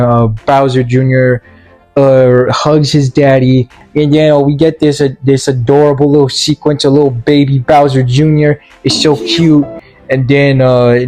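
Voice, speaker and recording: Halting, sarcastic man over music. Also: mid-range voice, darkish tone. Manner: halting, stammering delivery with repeated words; sarcastic, mock-earnest. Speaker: video reviewer. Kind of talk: casual, rambling movie review commentary.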